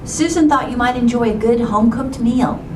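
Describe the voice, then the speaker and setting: A woman's voice: fairly high pitch, moderate pace, lightly acted. A woman playing a polite, businesslike working mother in a children's puppet musical. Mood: polite, brisk. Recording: roomy, distant, noisy puppet show recording.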